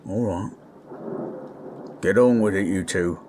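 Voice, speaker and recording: A man playing a gruff, protective, steady adventurer in a fantasy roleplay, speaking tersely but reassuringly. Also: low-pitched, slow. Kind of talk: fantasy roleplay audio acting. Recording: storm noise behind. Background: rain and thunder.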